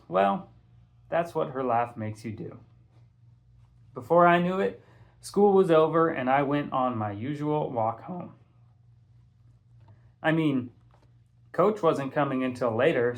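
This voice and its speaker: A man reading a first-person story aloud in an expressive, casual, conversational way. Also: medium pitch. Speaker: audiobook reader, teacher.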